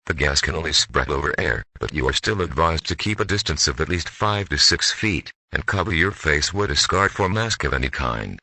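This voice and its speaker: A man's voice: rumbling low, animated, normal speed, hardly any pauses, sharp tone, husky, dry recording. A man's synthetic text-to-speech voice reading a scripted emergency broadcast announcement in a formal manner as an alert announcer.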